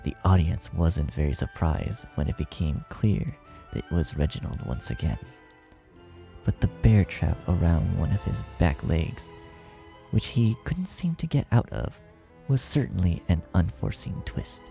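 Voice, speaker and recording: A man's voice: deep voice, big pitch swings, unhurried, gravelly, mellow, soft background music. A man reading a fan fiction story aloud as its narrator in a scripted style.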